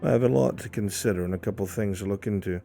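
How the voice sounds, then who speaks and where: Shrewd fantasy informant, played by a man in live roleplay: formal, deliberate, dark warm tone, music underneath. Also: on the low side, creaky. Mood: measured, businesslike. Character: measured, shrewd male informant in fantasy court intrigue. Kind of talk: improvised fantasy roleplay dialogue. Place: online VR voice chat.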